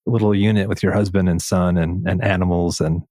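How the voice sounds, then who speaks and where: A man hosting a reflective, conversational interview, asking questions in a gentle, tentative way. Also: low-pitched, steady tone, a little fast, no room sound, studio quality. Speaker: podcast interviewer.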